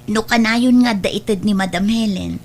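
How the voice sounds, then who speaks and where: A woman's voice: medium pitch, a little slow. An actress playing a poor, devoted, self-sacrificing mother in an Ilocano drama, humble and earnest, with an Ilocano Filipino accent. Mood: cheerful, devoted. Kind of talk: Ilocano radio-style drama acting.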